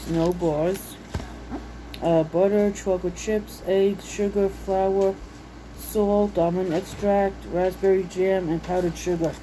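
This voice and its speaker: A woman's voice: mid-range voice, steady tone, takes it slowly, dark tone, a little hiss or hum, rough recording. A woman chatting casually and unscripted, reading things out and musing to herself in a homey, folksy way. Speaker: vlogger showing a recipe book. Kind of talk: casual unscripted chat while browsing and reading out recipes. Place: indoors at home.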